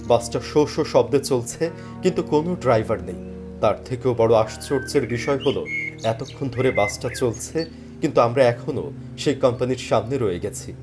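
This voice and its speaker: A man's voice: medium pitch, moderate pace. A man giving dramatic, scripted first-person narration of a story. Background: background music.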